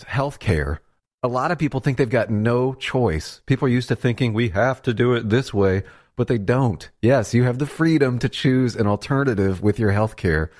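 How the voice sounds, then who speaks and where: A man's voice: medium pitch, expressive, moderate pace, a little mellow. Earnest male radio ad voice. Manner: earnest, persuasive, conversational. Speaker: commercial announcer. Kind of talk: read radio ad.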